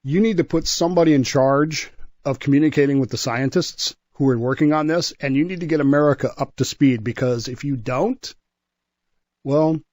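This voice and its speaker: A man's voice: a little high, moderate pace, a little mellow, no echo, studio quality. A man telling history conversationally as a show guest, with an enthusiastic, emphatic delivery that sometimes halts and repeats words.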